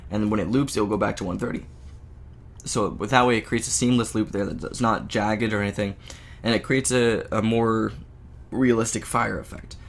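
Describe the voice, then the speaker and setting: A man with a sick, slightly off voice, casually walking through a software tutorial with lots of filler words. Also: fairly low pitch, fairly even intonation, moderate pace. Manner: casual, many "uh" and "there" fillers. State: sick, voice a bit off. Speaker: game engine tutorial maker. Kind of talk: screen-recorded software tutorial.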